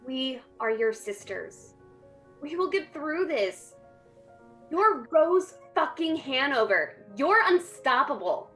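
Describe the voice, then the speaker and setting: A woman playing a steady, supportive young sorority sister in a dramatic reading. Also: high-pitched, slow pace, soft background music, exaggerated voice. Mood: reassuring.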